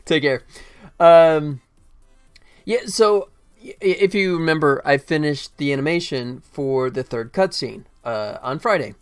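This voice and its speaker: Middle-aged male streamer, slightly higher-pitched, easygoing and self-deprecating, light music behind him. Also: a little slow, clear, resonant tone. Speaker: game developer livestreamer. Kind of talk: casual livestream chat. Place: indoor desk, livestream webcam setup.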